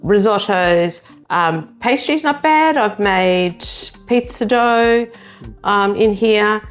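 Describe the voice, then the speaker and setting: A woman's voice: mid-range voice, slow, faint music behind. A middle-aged South African woman co-hosting a home cooking show, chatting through each step as she works. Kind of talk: casual cooking demonstration.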